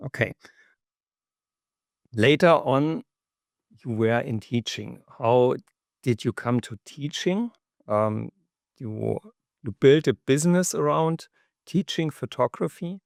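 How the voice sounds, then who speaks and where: Male podcast host, gravelly voice, hesitant ums, dry sound. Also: mid-range voice, lively intonation, slow, long pauses, dry recording. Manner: hesitant questioning, many ums. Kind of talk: interview questions.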